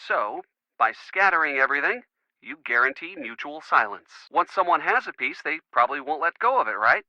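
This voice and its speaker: A man's voice: fairly high pitch, big pitch swings, a little fast, pushed and bright, no echo, dull audio, heavily acted. A man playing an earnest, devoted college-age boyfriend in a video game, boyish and a little rambling, as if talking on the phone. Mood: thoughtful, supportive.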